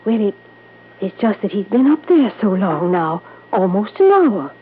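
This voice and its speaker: An actress playing an anxious, devoted wife in a 1950s radio drama, earnest and fretful. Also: female voice, mid-range voice, big pitch swings, measured pace, slightly rough. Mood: worried. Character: anxious, devoted wife, protective of her husband. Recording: narrow old radio recording with hum.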